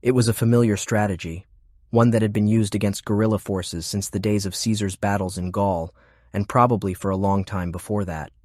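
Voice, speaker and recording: A man's voice: on the low side, fairly even intonation, a little fast, darkish tone, dry recording. A man reading scripted fiction as an audiobook narrator, close to the microphone.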